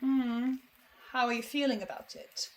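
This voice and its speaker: A woman playing an anxious, wry researcher of the supernatural in a horror drama, speaking in a halting, teasing way. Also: on the high side. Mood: wry, concerned.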